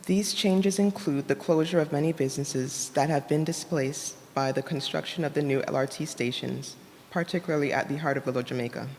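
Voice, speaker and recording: A woman with a soft voice delivers a prepared academic talk. Her delivery is measured and formal, with careful wording. The room adds long reverb, and some noise sits behind her. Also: deep voice. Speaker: academic presenter, designer. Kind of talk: prepared conference talk read from notes. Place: indoor conference room at a university. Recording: reverberant hall, noticeable background noise.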